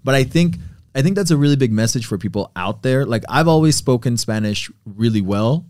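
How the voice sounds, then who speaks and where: A young man hosts a relaxed conversation in an upbeat, affirming way, often checking in with his listeners. His voice has ordinary middle pitch. It is captured dry, with no room sound. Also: fairly quick, dry recording. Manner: upbeat, affirming, many fillers like "right?". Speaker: podcast host. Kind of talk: casual chat.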